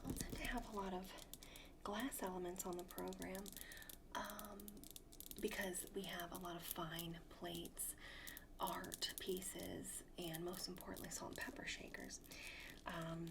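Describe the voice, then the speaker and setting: A woman playing a polite, hesitant presenter in a soft-spoken ASMR roleplay, breathy and often near-whispering into close microphones. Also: lowish voice, unhurried, noisy background. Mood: soft, tentative. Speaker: ASMR performer. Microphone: close sensitive ASMR microphones. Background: tapping and clicking of handled objects.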